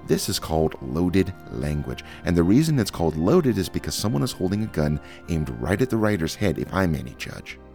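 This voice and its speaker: A man's voice: deep voice, big pitch swings, fairly quick, hardly any pauses, darkish tone, soft background music. A man voicing a scornful, acid history teacher. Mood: sardonic. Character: sardonic, bitter male history teacher.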